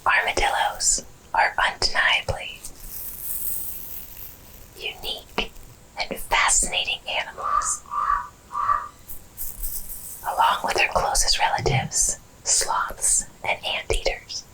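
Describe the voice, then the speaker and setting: A woman host narrating educational animal facts in an ASMR style, whispering slowly and deliberately. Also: a bass voice, wildly swooping pitch, pushed and bright, low-quality recording. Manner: whispers slowly and deliberately through most of the talk.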